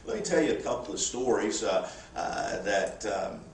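A man's voice: fairly low pitch, animated, moderate pace, gravelly, distant mic, a little hiss or hum. Man speaking from afar in a roomy church. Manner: folksy and humorous, with many "uh" fillers. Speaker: missionary. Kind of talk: church missionary report. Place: church sanctuary.

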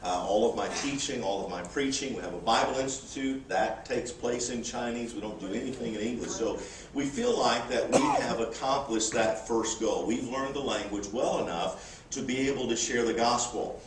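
A man's voice: medium pitch, moderate pace, reverberant, far from the mic, noisy background. A missionary in his forties, giving a folksy, humorous church report with frequent "uh" fillers. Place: church sanctuary.

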